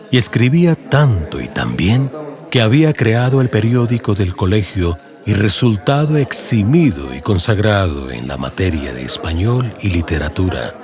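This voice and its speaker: A man with a Colombian accent narrating a literary radio drama audiobook in a measured storytelling delivery, with music behind him. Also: on the low side, darkish tone. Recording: music bed under narration.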